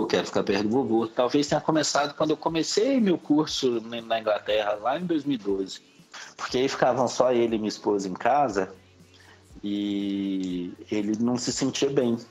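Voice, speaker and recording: A man's voice: mid-range voice, expressive, fairly quick, a little mellow, soft background music. A Brazilian man, middle-aged, speaking Brazilian Portuguese as a podcast guest, casually recounting his own experiences. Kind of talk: casual interview, personal recollection. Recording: slightly dull, lo-fi sound.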